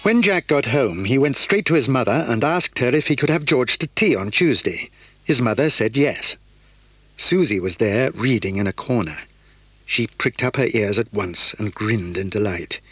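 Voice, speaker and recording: Narrator: man, husky tone, lively intonation, dry close recording. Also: mid-range voice, normal speed, dry recording. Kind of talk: scripted storybook narration.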